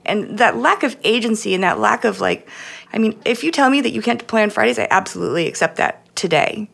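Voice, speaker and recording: Very creaky, fast-talking woman podcast host. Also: mid-range voice, fairly bright tone. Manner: argues with rhetorical questions. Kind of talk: casual opinionated podcast discussion.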